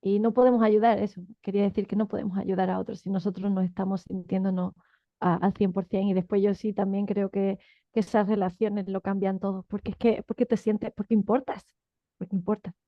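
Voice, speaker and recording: A woman's voice: medium pitch, level tone, brisk, no echo, highs cut off. A woman moderating an online event, enthusiastic and searching for words as she reflects. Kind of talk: casual moderating.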